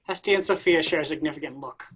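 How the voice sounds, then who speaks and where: A woman's voice: sits low, fast talker, breathy edge. A woman giving scripted narration, reading out the stage directions of a play.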